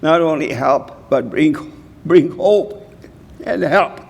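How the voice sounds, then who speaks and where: A man in his nineties from Hungary giving an award acceptance speech, halting and slow, often clearing his throat. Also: fairly high pitch. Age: 90s. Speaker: award recipient. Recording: reverberant hall.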